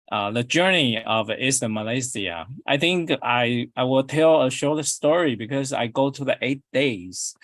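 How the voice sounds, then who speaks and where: Middle-aged taiwanese guy, english not his first language, slow and halting, lots of uhs, dry sound. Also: mid-range voice, dry recording. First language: Chinese. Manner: halting, many fillers and restarts. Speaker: dentist giving a guest talk. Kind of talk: prepared presentation on a video call.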